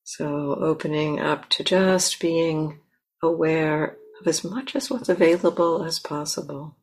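Female meditation teacher, low register, very slow pacing, gentle delivery with long pauses mid-sentence. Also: rough recording. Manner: gentle, unhurried, pausing mid-phrase. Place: meditation center.

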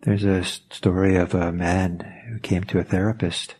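A man whose first language is Norwegian, giving a reflective meditation talk, pausing and searching for words as he goes. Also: deep voice, little pitch movement, darkish tone, slight background noise. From Norway. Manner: thoughtful, hesitant, searching for words.

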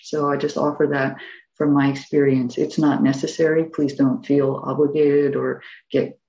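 Woman teacher, earnest and hedging; gravelly, mellow voice, dull echoey recording. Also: sits low. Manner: earnest, personal, hedging with fillers. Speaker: meditation teacher. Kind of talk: dharma class talk.

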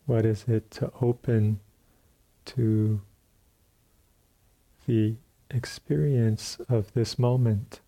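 A man leading a guided meditation as a teacher, speaking in gentle, unhurried phrases with long pauses between them. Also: fairly low pitch, fairly even intonation. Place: retreat center meditation hall.

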